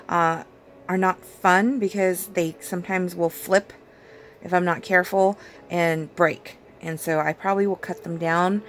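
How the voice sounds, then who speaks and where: A woman vlogger losing her voice, her speech hoarse and raspy as she chats casually through a nail routine with plenty of fillers. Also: on the low side, slight background noise. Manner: rambling, chatty, with many fillers and small laughs. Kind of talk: casual chat while doing her nails.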